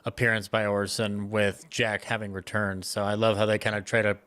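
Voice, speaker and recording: A man's voice: fairly low pitch, level tone, a little fast, a bit bright. A man speaking as a podcast panelist in an unscripted discussion, with a fan's enthusiasm.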